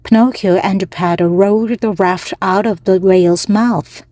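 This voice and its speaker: A woman narrating a children's story slowly and clearly for language learners, with pauses between phrases. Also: mid-range voice, steady tone, mellow, no echo. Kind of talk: slow, clear read-aloud for language learners.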